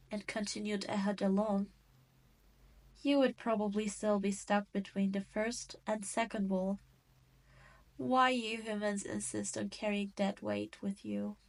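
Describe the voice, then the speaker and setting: A woman's voice: medium pitch, natural voice, poor audio. A woman playing a cruel, gloating demon queen in a scripted audio roleplay, condescending and taunting. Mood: smug, mocking.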